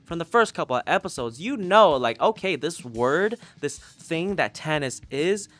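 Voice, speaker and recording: Expressive, foul-mouthed man over music. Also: medium pitch. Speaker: reviewer of audio drama podcasts. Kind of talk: casual sweary video review. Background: background music.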